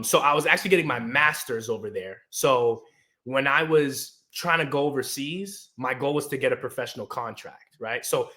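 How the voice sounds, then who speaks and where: Guy in his 20s from the us, guest on a chat show, easygoing, lots of ums, bright crisp voice. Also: mid-range voice. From United States. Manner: easygoing, laughs often, many ums. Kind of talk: conversational storytelling.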